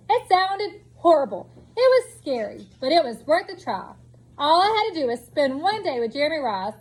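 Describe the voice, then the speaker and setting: A woman reading a children's picture book aloud in an expressive storytelling way, drawing out words for emphasis. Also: sits high, rough recording. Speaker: storybook reader.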